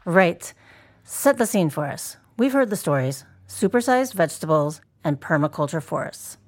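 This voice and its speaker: An actress playing a composed public radio news host, presenting in a measured, formal way in an audio drama. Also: female voice, lowish voice, big pitch swings, dry recording. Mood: composed, inquisitive.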